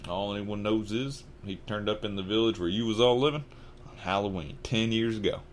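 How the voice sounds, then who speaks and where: Man doing a gruff, kindly Southern giant. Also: on the low side, a little hiss or hum, close to an everyday voice. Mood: solemn. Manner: halting, folksy, dropped consonants.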